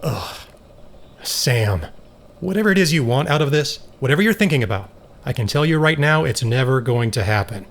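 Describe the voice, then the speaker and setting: A man's voice: medium pitch, animated, moderate pace, close to an everyday voice. A man acting in an audio drama as a stern, self-controlled scientist, blunt and clinical in manner. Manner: matter-of-fact, firm, clinical. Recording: faint background noise.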